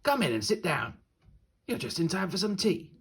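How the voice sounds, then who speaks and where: A man voicing the last wolf in a children's storybook, a courteous, world-weary wild animal with polite, measured speech. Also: high-pitched, very animated, vocal fry, fairly bright tone, husky, pitched above the performer's own voice, exaggerated voice. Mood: welcoming.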